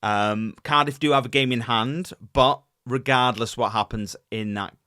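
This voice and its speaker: A man, casually co-hosting a podcast with his wife, animated and full of fillers and restarts. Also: mid-range voice, unhurried, dry recording, clean studio sound. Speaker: podcast co-host.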